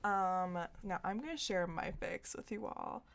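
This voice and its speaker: A woman's voice: medium pitch, expressive, a little slow, creaky. Casual, giggly woman podcast host. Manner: giggly, breaks off into laughter while reading. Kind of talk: casual chat and reading fan fiction aloud.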